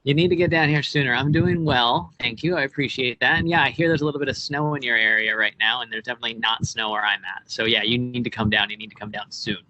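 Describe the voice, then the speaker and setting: A man's voice: mid-range voice, quick pace, hardly any pauses, bright, forceful tone, dry recording, highs cut off. A young American man giving casual, informal explanations as a guest expert, often checking in with tag questions. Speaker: boat club operations director, guest expert. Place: outdoors on a marina dock.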